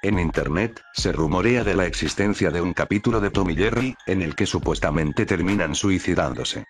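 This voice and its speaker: Male narrator, low register, scripted countdown read with light music underneath. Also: moderate pace, breathy edge. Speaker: narrator of a cartoon top-list video.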